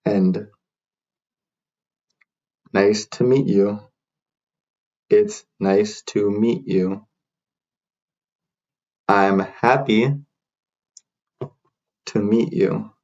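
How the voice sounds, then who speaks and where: A man teaching beginner English, repeating short phrases slowly for learners to copy. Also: fairly low pitch, vocal fry, a little mellow, highs cut off. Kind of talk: slow repeat-after-me drill.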